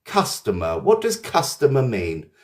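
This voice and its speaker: A man's voice: mid-range voice, huge pitch swings, unhurried. A man with an English accent hosting a live English lesson, cheerfully greeting viewers by name as they join. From England. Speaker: English teacher.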